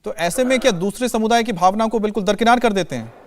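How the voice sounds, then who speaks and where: A male news anchor talks quickly in a high-pitched voice. He puts live questions and observations to a field reporter in broadcast style.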